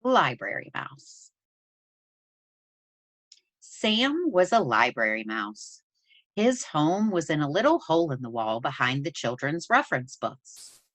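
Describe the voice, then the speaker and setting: Low-voiced woman reading aloud, very lively intonation. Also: no room sound. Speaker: presenter reading a children's picture book aloud. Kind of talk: expressive read-aloud storytelling for children.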